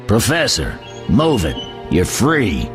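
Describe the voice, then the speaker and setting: An actor playing a gruff, scornful jail captain in a video game, giving orders. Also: male voice, lowish voice, huge pitch swings, takes it slowly, over music, heavily acted. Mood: commanding. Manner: commanding, scornful. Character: gruff, bossy adult male prison guard captain.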